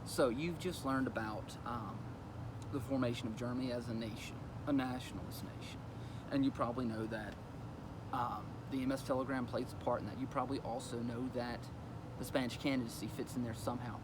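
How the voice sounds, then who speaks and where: A man's voice: medium pitch, steady tone, brisk, noisy background. A man explaining a history lesson in a casual, conversational way, with fillers as he goes. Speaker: teacher.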